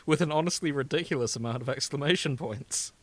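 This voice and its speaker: A British man chatting casually as a podcast co-host, with a jokey, bantering manner. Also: fairly high pitch. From Britain. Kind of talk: casual comedic chat.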